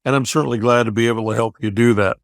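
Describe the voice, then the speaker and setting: A man's voice: medium pitch, fairly even intonation, very fast, no room sound, clean studio sound. A man hosting a business interview show, asking friendly, direct questions.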